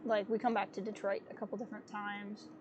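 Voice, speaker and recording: A woman streaming a video game, chatty and a little sniffly, thinking out loud with fillers as she plays. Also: medium pitch, level tone, quick pace, noisy background. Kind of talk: casual gameplay commentary. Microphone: desk mic with webcam.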